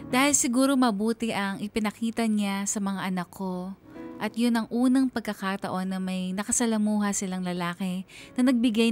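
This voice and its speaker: An actress voices an anxious mother narrating her own radio drama. She speaks at a medium pitch in a heartfelt, confiding way, with faint music behind her. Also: lightly acted.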